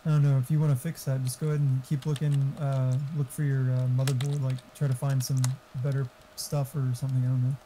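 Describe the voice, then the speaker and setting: A man's voice: medium pitch, flat delivery, quick pace, few pauses, background noise. A man chatting casually over gaming voice chat, rambling and swearing freely.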